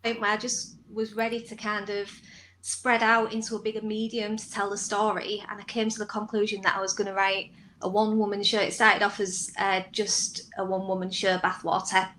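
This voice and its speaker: A woman's voice: medium pitch, level tone, a little fast, fairly bright tone, slight background noise, poor audio. A woman writer and performer giving reflective, conversational answers in an online interview. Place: indoors on a live online video call.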